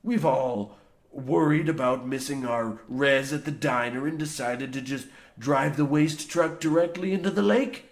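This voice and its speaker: A man's voice: medium pitch, a little slow, natural voice. Radio play spoof: male actor as crusty old coal foreman, archaic country turns of phrase, unbothered by doom, mid-range voice. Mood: blustering, indignant. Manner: archaic folksy dialect, ye and tells you. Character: gruff old male coal mine foreman, folksy and morbidly blasé. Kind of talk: old-time radio horror comedy acting.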